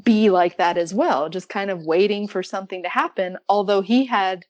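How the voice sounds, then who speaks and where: A woman's voice: mid-range voice, moderate pace, no echo, highs cut off. A woman with an American accent, from rural Arizona, talking casually and reflectively about literature as a podcast guest. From rural Arizona, United States. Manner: chatty, reflective, laughs readily.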